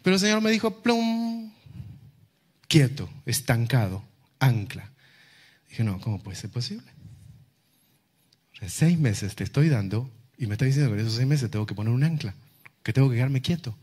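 A middle-aged Argentine man preaches from a stage into a handheld microphone. His voice sits at a medium pitch. He tells personal stories to a congregation, leaning on repetition, rhetorical questions and pauses for effect. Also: expressive, a little mellow, slightly rough. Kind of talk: sermon with personal storytelling to a congregation. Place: large indoor church auditorium, on stage.

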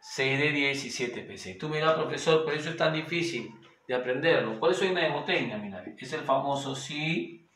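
A man's voice: on the high side, animated, a bit bright, a little hiss or hum. A man, teaching a chemistry lesson at a whiteboard and often voicing his students' questions himself. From Peru. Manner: addresses students directly and voices their questions; slows to a sing-song when dictating orbitals. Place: small room at a whiteboard. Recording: echoey room.

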